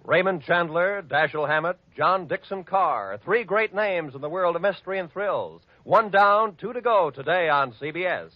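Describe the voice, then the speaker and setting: A man announcing on a vintage radio broadcast, crisp and promotional in his delivery. His voice sits slightly high, over clear music, and the sound is dull and lo-fi like an archival recording. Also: animated, normal speed, hardly any pauses. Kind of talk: old-time radio announcing.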